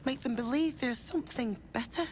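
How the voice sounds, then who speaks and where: A woman's voice: high-pitched, a little fast, a little mellow, a little hiss or hum, lightly acted. An actress playing a sinister woman cultist who speaks in a cooing, honeyed, condescending way.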